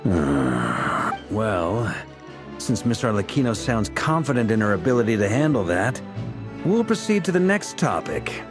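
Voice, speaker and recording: A shrewd male leader from a fantasy game, steering the meeting with wry, probing questions. His pitch moves a lot, and faint game music plays behind him. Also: sing-song, measured pace.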